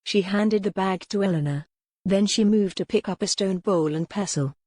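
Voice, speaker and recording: A woman's voice: medium pitch, normal speed, warm tone, no echo. A woman reading a historical romance audiobook as a dramatic, scripted narration.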